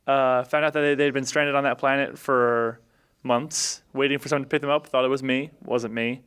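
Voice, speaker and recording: A man with a very clean, smooth voice gives a casual recap of a tabletop game adventure. He speaks haltingly, feeling around for details as he goes, like a player on a podcast. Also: medium pitch, steady tone, a bit bright. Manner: halting, searching for memory.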